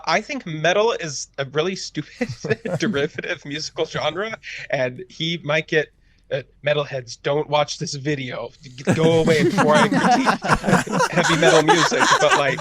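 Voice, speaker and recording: A man's voice: fairly high pitch, fairly bright tone, a little hiss or hum. A man talking casually and giving his opinions as a panelist in a group discussion, often paraphrasing with mock quotes. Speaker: panelist on a reaction podcast.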